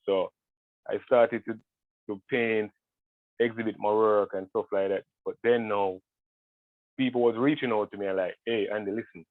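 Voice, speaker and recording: A man's voice: on the low side, steady tone, moderate pace, long pauses, no room sound. A young man with a Jamaican accent, a guest artist talking in a video call interview, hesitant with many ums and restarts. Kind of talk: casual interview talk. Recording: tinny phone-like call audio.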